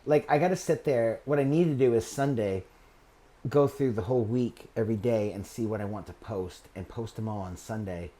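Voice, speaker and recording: A man in his forties from Maine hosting a podcast, chatting casually in a rambling way that trails off softly, with frequent laughs. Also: lowish voice, brisk. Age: 40s. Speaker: podcast host. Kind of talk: casual interview chat.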